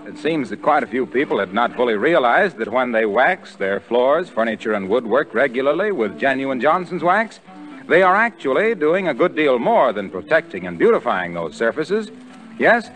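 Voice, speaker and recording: A man's voice: mid-range voice, fairly bright tone, poor audio. A man with an American accent announcing and reading scripted advertising on 1940s radio, polished and emphatic.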